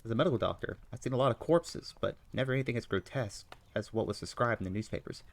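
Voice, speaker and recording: A man's voice: mid-range voice, fast talker, creaky. A man reading as an earnest Victorian doctor.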